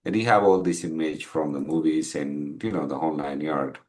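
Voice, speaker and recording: A middle-aged man with an Ethiopian accent, talking casually in an interview in a halting, reflective, conversational way. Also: rumbling low, fairly even intonation, darkish tone. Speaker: podcast guest, entrepreneur. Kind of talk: casual interview, telling life story.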